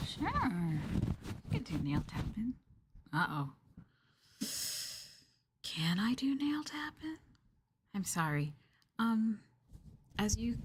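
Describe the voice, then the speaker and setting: A woman streaming ASMR, talking softly and hesitantly to a live chat, often slipping into a breathy whisper close to the mic. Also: on the low side. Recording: noisy, with clear hiss and clicks.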